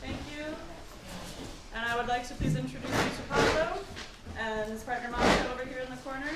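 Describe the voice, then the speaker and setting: A woman's voice: high voice, fairly even intonation, brisk. Woman calling a noisy hall to order. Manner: loud, raised voice to quiet a crowd. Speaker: event organizer introducing the speaker. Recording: distant voice in an echoey hall, loud noise.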